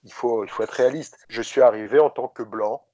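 A man guesting on a podcast, speaking in a reflective, thoughtful way with frequent pauses and fillers. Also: medium pitch, steady tone, warm tone, no room sound, lo-fi sound. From France. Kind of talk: reflective interview talk.

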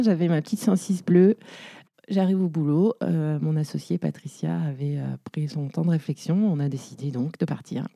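A woman's voice: deep voice, dark tone, no echo. A woman in her forties, an entrepreneur, telling her career story in a casual interview, full of fillers and restarts. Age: 40s. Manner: chatty, many fillers and restarts. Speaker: guest, entrepreneur.

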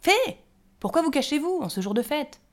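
A woman voicing an excitable, jolly male forest gnome who loudly hurries the others along. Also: normal speed, very noisy. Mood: calling loudly. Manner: shrill, loud, urgent, hurrying others.

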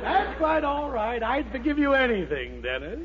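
High-voiced, swooping, flattering uncle. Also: male voice, normal speed, crowd noise, exaggerated voice. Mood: gushing flattery. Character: flattering, wily uncle who runs a store. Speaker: comic actor. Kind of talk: 1940s radio sitcom acting. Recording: muffled, noisy 1940s radio recording.

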